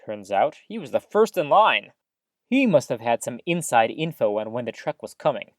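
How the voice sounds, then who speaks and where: An actress as a folksy small-town radio host in an audio drama, a woman with a low voice that swings up and down. She delivers chatty, upbeat news patter full of mild old-fashioned exclamations, recorded very dry. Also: very animated, moderate pace, dry recording.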